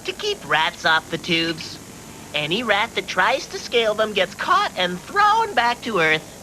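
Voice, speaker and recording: A woman's voice: mid-range voice, big pitch swings, unhurried, music in the background. A woman voicing a coolly strict, matter-of-fact official in a dubbed anime. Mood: coldly matter-of-fact. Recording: noisy old VHS tape recording.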